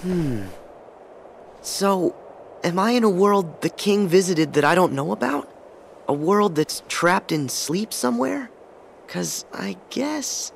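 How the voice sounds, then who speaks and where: Eager, lively teenage boy hero. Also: high voice, takes it slowly, vocal fry, slight background noise, full character voice. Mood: puzzled, keen. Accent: American. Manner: earnest, youthful. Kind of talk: animated game character acting.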